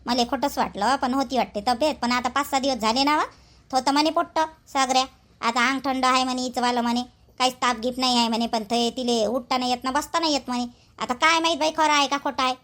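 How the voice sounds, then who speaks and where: Woman playing gossipy village mother, Vidarbha Marathi accent, repetitive complaining, high voice, cartoon dialogue. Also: steady tone, fast talker, fairly bright tone. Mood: complaining, doubtful. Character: complaining, suspicious village mother gossiping about her daughter-in-law.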